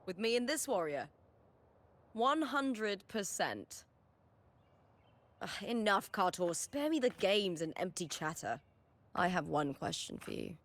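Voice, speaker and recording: An actress voicing a proud young gladiator star in a video game, bold and blunt, with a self-assured, commanding manner. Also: female voice, medium pitch, sing-song, measured pace, long pauses, a bit bright. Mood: curt, confident.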